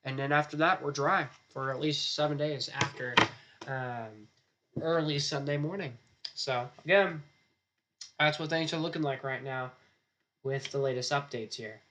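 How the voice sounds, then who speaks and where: A man's voice: mid-range voice, unhurried, pushed and bright, a little hiss or hum. A man, a chief forecaster talking loosely through a live weather stream, his throat sore and his voice starting to go. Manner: loose, rambling, repeats "again" often. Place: weather center studio.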